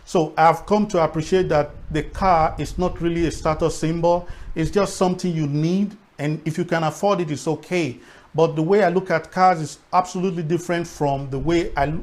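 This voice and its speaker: A man's voice: on the high side, fairly even intonation, normal speed. A middle-aged man with a Nigerian accent and Edo as his first language talks reflectively to viewers, repeating words for emphasis. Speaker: vlogger.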